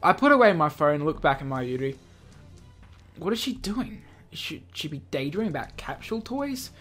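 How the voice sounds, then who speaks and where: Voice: mid-range voice, very animated, moderate pace, a bit bright, soft background music. A video game host reading a visual novel aloud, stumbling over words and dropping in jokey offhand comments. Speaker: let's play video host.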